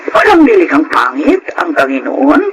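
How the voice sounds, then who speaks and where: A woman playing a kindly, patient orphanage nun in a Filipino radio drama, gentle and reassuring. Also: high-pitched, wildly swooping pitch, normal speed, creaky, full character voice. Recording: noticeable background noise.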